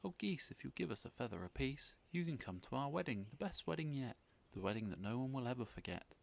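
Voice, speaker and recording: A man's voice: mid-range voice, sing-song, fairly quick, vocal fry, fairly bright tone. A man voicing a loving, eager and polite scarecrow bridegroom in a storybook, with faint noise behind.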